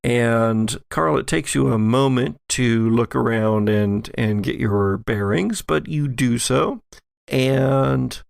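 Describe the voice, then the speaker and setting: A man narrating a tabletop horror game as game master, in a measured, halting storytelling manner. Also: mid-range voice, creaky, clear, resonant tone, no echo, clean studio sound.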